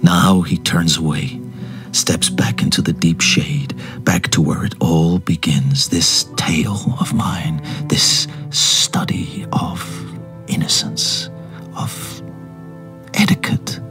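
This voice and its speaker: An actor playing a wistful literary author narrating his tale in a radio drama, hushed, measured and poetic. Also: deep voice, music in the background. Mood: wistful, reflective.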